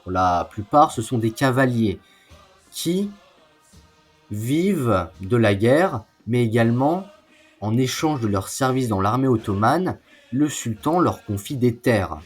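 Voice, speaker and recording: A man narrates history in a slow, unhurried delivery with a husky, rough-edged voice. He explains in a semi-scripted style and slips in informal asides. Faint music plays behind him. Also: medium pitch, animated.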